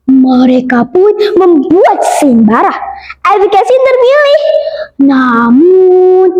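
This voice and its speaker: Very high-voiced schoolgirl telling a folktale. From Central Sulawesi, Indonesia. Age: child, primary-school age. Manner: declamatory, emphatic. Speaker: school storytelling contestant. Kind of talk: rehearsed dramatic folktale narration. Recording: roomy, echoing sound.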